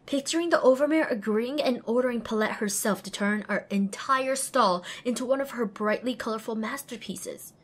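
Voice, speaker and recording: Woman voicing young female pony apprentice, high voice, earnest, self-deprecating, expressive storytelling, audio drama. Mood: wistful, wry. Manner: expressive, wry. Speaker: lead and first-person narrator.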